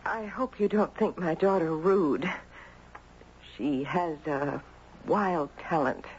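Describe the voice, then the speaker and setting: Radio drama mother: gracious, informal, quietly enigmatic; muffled vintage sound with slight hiss. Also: deep voice, slow pace. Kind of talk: 1970s radio drama acting. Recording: muffled, faintly noisy old radio recording.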